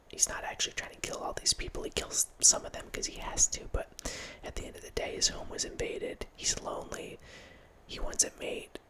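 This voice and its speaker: A man in his thirties hosting an ASMR channel, whispering a rambling film chat into a close microphone in a small home room. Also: low-quality recording. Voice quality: soft unvoiced whisper. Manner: rambling, with restarts and quiet laughs. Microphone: close studio mic with headphones.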